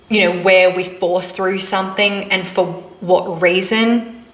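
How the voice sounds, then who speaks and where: A woman in her thirties hosting a podcast, telling a personal story in a halting, reflective way with many restarts. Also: fairly low pitch, fairly bright tone, echoey room, low-quality recording. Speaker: podcast host.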